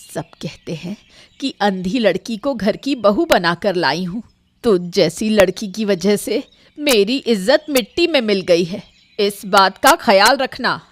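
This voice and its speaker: A woman's voice: medium pitch, animated, a little slow, no echo. A woman voicing a bitter, complaining old mother-in-law, a poor servant, in a cartoon story. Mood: scornful, bitter. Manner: complaining, aggrieved. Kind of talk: Hindi cartoon story voice acting.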